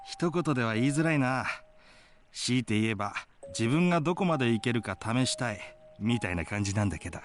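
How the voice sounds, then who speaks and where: A man's voice: medium pitch, lively intonation, rapid-fire, faint music behind, close to an everyday voice. A man playing a casual, cocky young male livestreamer in a Japanese visual novel, speaking informally and with animation. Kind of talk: Japanese game voice acting.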